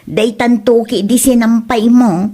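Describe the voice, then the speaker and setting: A woman's voice: a little high, fairly even intonation, unhurried, dark tone. A woman acting in a comedic audio drama as a chatty friend and a polite passenger. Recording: music bed behind the voice.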